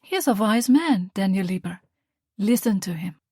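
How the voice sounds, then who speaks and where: A woman reading the part of a calm, loving mother, speaking softly and tenderly. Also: medium pitch, normal speed, slightly rough, dry recording, clean studio sound. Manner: soft, tender, reassuring.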